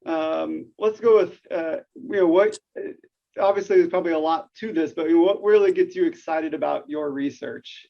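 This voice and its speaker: Guy hosting an interview, gravelly voice, trips over his words, kinda muffled lo-fi audio. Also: a little high, moderate pace. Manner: stumbling, repeats words while asking. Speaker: podcast host. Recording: dull lo-fi sound.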